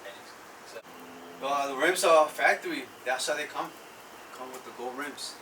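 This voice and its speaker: Chill guy from ecuador talking bikes, sounds far from the mic, loud noise outside. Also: medium pitch, moderate pace. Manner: relaxed, says "bro" a lot, frequent restarts. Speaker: interviewee, vehicle owner. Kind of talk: casual conversational interview. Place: outdoors by vehicles.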